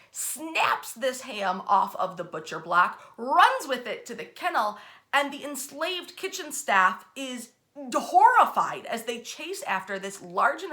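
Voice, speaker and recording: Bright, forceful woman telling history stories. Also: a little high. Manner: dramatic emphasis with wry, colloquial asides. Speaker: host and storyteller of a history series. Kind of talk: animated, informal history storytelling.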